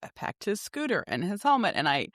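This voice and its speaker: A woman's voice: medium pitch, big pitch swings, fairly quick, fairly bright tone, dry recording, clean studio sound. A middle-aged woman co-hosting a podcast, talking casually and conversationally about everyday life. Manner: conversational, often acts out dialogue from anecdotes. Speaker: podcast co-host. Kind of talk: casual personal chat with anecdotes.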